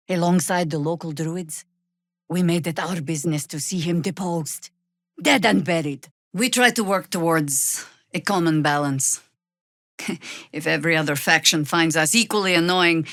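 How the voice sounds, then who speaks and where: A woman playing a seasoned older druid warrior in a video game, wry and commanding, with dry, formal, archaic phrasing and a measured, weathered delivery. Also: lowish voice, fairly bright tone, dry recording, natural voice. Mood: dry, reflective. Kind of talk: video game character acting.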